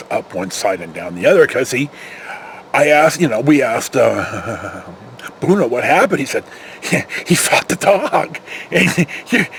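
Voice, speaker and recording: Animated American man spinning rambling stories. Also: medium pitch, vocal fry, a little hiss or hum. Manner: animated, rambling, acts out dialogue with salty language. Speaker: storyteller, former security patrol officer. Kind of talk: casual anecdotal storytelling.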